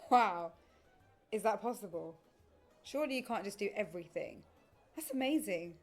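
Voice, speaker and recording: A woman's voice: medium pitch, animated, normal speed. Eager, admiring woman, music behind. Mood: curious, impressed. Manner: eager, inquisitive. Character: fascinated, admiring, flirtatious adult woman dinner guest. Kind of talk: audio drama dialogue.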